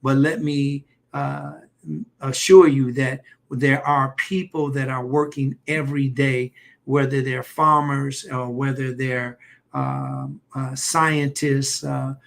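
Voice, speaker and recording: A man, a doctor, hosting a live health stream in a loose, halting way with many fillers and light jokes. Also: mid-range voice, creaky. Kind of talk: casual livestream talk.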